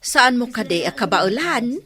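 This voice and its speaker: Here is a chatty, prying Ilocano village neighbour in a radio comedy. Her voice is bright and fairly high, with lots of lively rise and fall. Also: unhurried. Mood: prodding.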